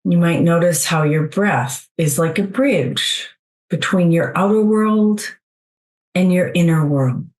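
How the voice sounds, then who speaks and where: A woman leading a guided meditation in a soothing, gentle, unhurried way, leaving long pauses between phrases. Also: on the low side.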